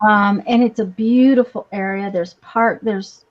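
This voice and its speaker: A woman's voice: mid-range voice, fairly even intonation, measured pace. A woman chatting casually in a hesitant, rambling way. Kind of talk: casual chat. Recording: slightly dull, lo-fi sound.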